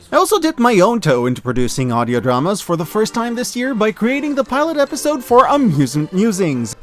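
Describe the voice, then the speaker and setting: Guy doing a video essay review, really expressive n kinda wry, voice goes up and down a lot, bit of music under it. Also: high-pitched. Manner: enthusiastic, expressive, with wry asides. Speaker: online media reviewer and host. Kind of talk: scripted video essay narration, year-in-review commentary.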